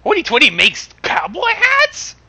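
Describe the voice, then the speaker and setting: A man chatting casually as a livestream co-commentator, quick to exclaim in disbelief. His voice is high and very sharp, leaping widely in pitch. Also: huge pitch swings. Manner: exclaiming, incredulous.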